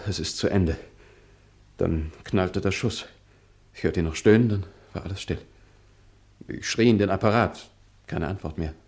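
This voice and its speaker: Deep male distraught bereaved friend, radio crime drama; halting, earnest, theatrical delivery. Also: darkish tone. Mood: shaken, dramatic. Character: shaken, grieving male friend of a dead man. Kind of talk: German radio crime play acting.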